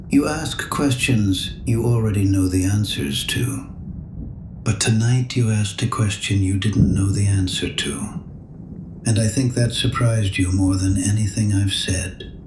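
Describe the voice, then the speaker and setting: An actor playing a weary, guilt-haunted elderly priest in an audio drama, quiet and measured. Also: male voice, on the low side, reverberant, noisy background, lightly acted. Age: older.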